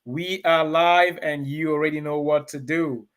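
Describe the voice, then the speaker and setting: A man hosting a live-streamed talk show from a studio, speaking with energetic, repeated emphasis and greeting viewers directly. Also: on the high side, measured pace. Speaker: host and reverend.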